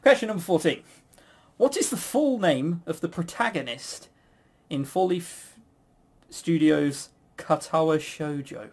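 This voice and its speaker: Higher-voiced man, teasing quiz host. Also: animated, measured pace. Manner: teasing, jokey. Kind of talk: casual quiz banter.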